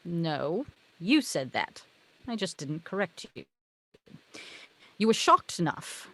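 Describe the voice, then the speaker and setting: A woman's voice: fairly low pitch, very animated, takes it slowly, a bit bright, full character voice. A woman reading the part of a wry, knowing, articulate heavenly muse in a film script read-through. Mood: exasperated, dry.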